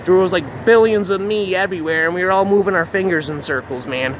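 A man telling a dark first-person story off the cuff in an agitated, repetitive, swearing, slangy way. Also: high-pitched, fast. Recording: noisy outdoor recording with traffic ambience.

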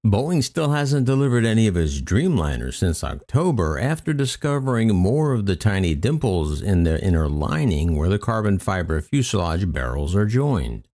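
Deep-voiced man narrating aviation news. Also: very animated, normal speed, hardly any pauses, warm tone. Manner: reads news reports aloud with occasional asides. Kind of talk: scripted news narration read over footage.

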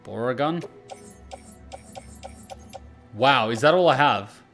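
Man, mid-pitched, casual gaming commentary, self-directed muttering, free swearing, clear game music behind. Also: expressive, normal speed, a bit bright. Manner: mutters to himself, swears freely. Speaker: gaming streamer.

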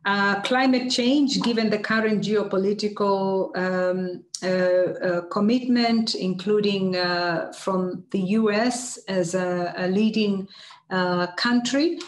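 A woman's voice: mid-range voice, takes it slowly. Middle-aged woman in an echoey room. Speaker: UN environment official, guest speaker. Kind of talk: answering questions at an online seminar. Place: indoors before banners, online video call. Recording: echoey room on video call.

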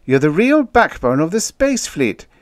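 A man's voice: on the high side, sing-song, unhurried, no room sound, pitched above the performer's own voice. A man voicing a grave, judicious space official with a formal, placating manner in a story. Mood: solemn.

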